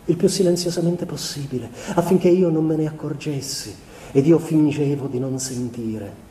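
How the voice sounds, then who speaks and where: An actor playing a melancholy husband confessing to his wife in a theatrical monologue, speaking in a measured, solemn way with deliberate pauses, recorded roomy and from a distance. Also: on the high side, mellow, natural voice. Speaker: stage actor. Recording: roomy, distant mic, faint background noise.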